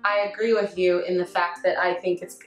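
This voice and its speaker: A young adult woman chatting casually about anime in an excitable, giggly way. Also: on the low side, fairly quick. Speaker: panelist, amateur fan-dub voice actress. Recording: echoey room, faint music underneath.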